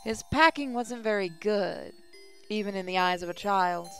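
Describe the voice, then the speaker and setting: Casting: female game-channel host, noticeable vocal fry, mid pitch, casual read-aloud of story text over soft music. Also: normal speed. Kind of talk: reading visual novel text aloud, with casual asides.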